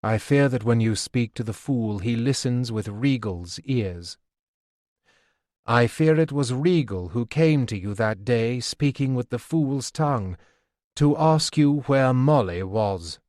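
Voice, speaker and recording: A man reading the part of a weary, failing aging king, speaking low and hushed with a heavy, slow delivery. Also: expressive, no echo, studio quality. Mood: weary, grave.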